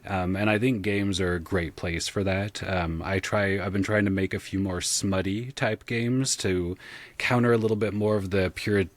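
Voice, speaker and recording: A man with a very deep voice speaks in a casual interview style. He is thoughtful and halting, choosing words as he goes and staying frank and informal. The sound is dry and close, with no room around it. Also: fairly even intonation, dry recording. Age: millennial, 30s or so. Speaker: guest, audio drama creator and game designer. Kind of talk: casual podcast interview talk.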